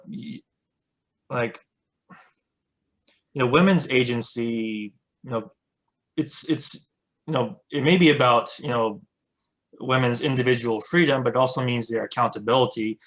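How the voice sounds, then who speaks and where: A man hosting a casual interview, speaking in a halting way with many fillers while searching for his words. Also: medium pitch, fairly bright tone. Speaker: host.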